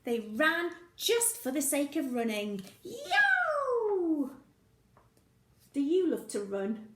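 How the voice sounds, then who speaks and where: A woman teacher reading a picture story aloud to young children, playful and expressive, with sound effects and questions for the listener. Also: high voice, extremely slow, roomy sound.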